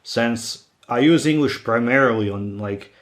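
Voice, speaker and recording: A man's voice: mid-range voice, a little slow. A man from Croatia whose first language is Croatian, co-hosting a casual comedy podcast in a wry, argumentative way.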